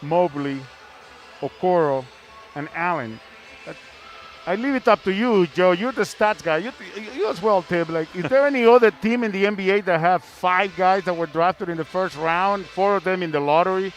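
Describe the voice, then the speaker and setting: A man's voice: sits high, normal speed. A man hosting a sports broadcast, talking basketball conversationally and repeating words as he builds up his questions.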